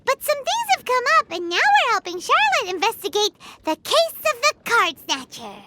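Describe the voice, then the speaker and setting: A woman voicing a tiny, childlike floating fairy companion in an anime video game, with a squeaky, cutesy, chirpy delivery. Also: wildly swooping pitch, normal speed, slight background noise, exaggerated voice. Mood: chatty, explaining. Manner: cutesy, exclamatory. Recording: loud game music behind.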